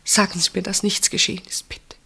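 A husky, anxious, pleading woman. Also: mid-range voice, little pitch movement, a little fast, bright, forceful tone, whispered, exaggerated voice. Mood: desperate pleading. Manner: urgent, pleading, breathy. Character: anxious, pleading woman fearing for her sister. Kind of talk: German radio play acting.

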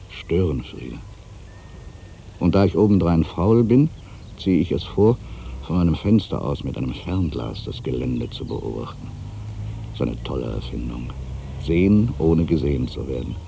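An actor playing an aging, weary writer in a literary monologue, brooding and wry, with a gravelly voice and deliberate, weighted pauses. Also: male voice, very deep voice, lively intonation, dark tone, slight background noise, full character voice. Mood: wry, wistful. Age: older.

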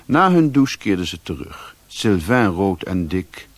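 World-weary adventurer narrating a radio play, low husky gravelly voice. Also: male voice, sing-song, unhurried, dry recording, heavily acted. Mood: brooding, reflective. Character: brooding, world-weary male adventurer recounting his story. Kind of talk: scripted first-person radio drama narration.

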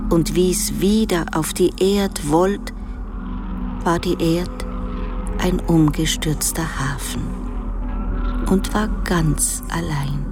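An actress playing a woman who tells a desolate fairy tale in a radio play, halting and at times whispering. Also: mid-range voice. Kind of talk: slow storytelling in a radio play. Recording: music behind the voice.

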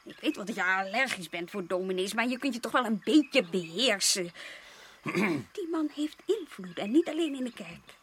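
An actress playing a colonial official's reproachful, exasperated wife in a radio play, chiding and articulate. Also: female voice, mid-range voice, lively intonation, moderate pace, a bit bright, husky.

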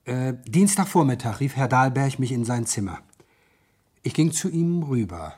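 An obliging office clerk in a vintage radio crime play. His medium-pitched voice is strongly husky and breathy. He speaks politely and with some hesitation, like a subordinate. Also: lively intonation, moderate pace, mellow. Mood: confessing. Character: deferential, hesitant male office employee, obliging. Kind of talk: 1970s German radio crime drama acting.

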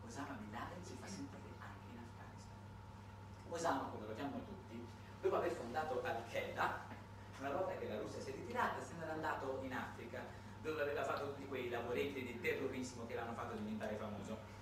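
A man's voice: mid-range voice, a little fast. A man performing a satirical theatrical monologue, conversational yet dramatic, with big swings in volume. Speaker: solo theatre performer and narrator. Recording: very echoey, distant, noisy stage recording.

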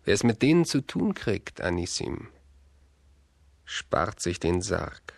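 An actor playing a cold, scheming grand duke in a children's radio play, deliberate and gloating. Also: male voice, deep voice, big pitch swings, vocal fry, dry recording, exaggerated voice. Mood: gloating menace.

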